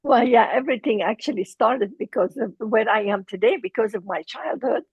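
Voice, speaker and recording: A middle-aged woman with a Lebanese accent, telling her personal story as an interview guest, halting as she searches for words. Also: a little high, darkish tone, husky, no room sound, lo-fi sound.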